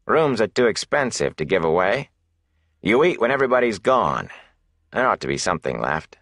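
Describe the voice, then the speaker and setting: A man voicing a surly, stingy, menacing innkeeper in an audiobook, grudging and curt with rough folksy phrasing. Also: lowish voice, sing-song, moderate pace, fairly bright tone, no echo, clean studio sound, pitched above the performer's own voice, heavily acted.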